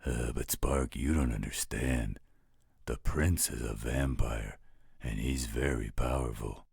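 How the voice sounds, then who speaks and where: A man playing a gruff, overprotective werewolf guardian in an audio roleplay, gravelly and husky, with a protective, fatherly manner. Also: a bass voice, slow, darkish tone, no room sound, studio quality, heavily acted. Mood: worried, protective. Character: gruff, overprotective male werewolf guardian, tender toward his ward.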